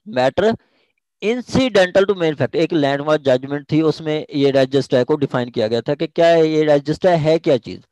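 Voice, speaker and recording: Man, Pakistani accent, higher-than-average pitch, law lecturer, explanatory, repetitive, checks understanding, dry close sound. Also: darkish tone, no room sound, rough recording. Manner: explanatory, repeats phrases, often checks understanding. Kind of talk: informal lecture explaining legal articles with examples.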